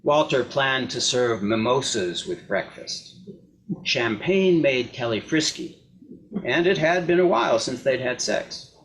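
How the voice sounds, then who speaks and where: A man reads a short story aloud in a scripted narrator's delivery, his voice sitting at a medium pitch. Clear background noise runs under the recording. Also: expressive, unhurried, a bit bright, poor audio. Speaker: reader in a readers theater.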